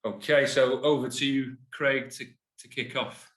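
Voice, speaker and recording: A man's voice: mid-range voice, fairly even intonation, measured pace, a bit bright, echoey room. A man presenting a business webinar, self-deprecating and hesitant with frequent fillers. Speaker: insolvency practitioner presenting a webinar.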